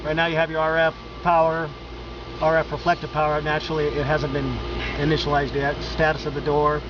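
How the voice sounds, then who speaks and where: Man, equipment demo, flat and steady delivery, somewhat high voice, lo-fi audio with loud machine hum. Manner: halting, matter-of-fact explaining with fillers. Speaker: equipment demonstrator. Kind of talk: technical product demonstration. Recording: dull lo-fi camcorder audio with loud background noise.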